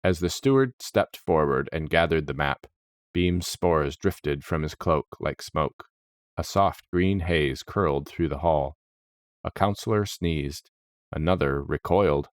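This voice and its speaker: A man's voice: rumbling low, a little slow, no room sound, studio quality. A man reading scripted fantasy story narration.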